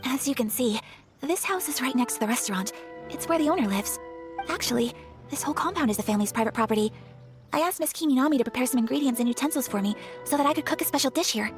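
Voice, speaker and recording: A woman playing a polite, refined young noblewoman in a video game, gentle, earnest and formally courteous. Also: high-pitched, lively intonation, fast, music in the background.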